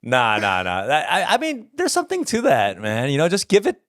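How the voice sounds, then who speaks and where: A man's voice: medium pitch, wildly swooping pitch, moderate pace, fairly bright tone, no echo, clean studio sound. A man hosting a podcast in casual chat, joking and laughing easily while asking questions. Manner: laughs often, jokes, loose interviewer. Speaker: podcast host.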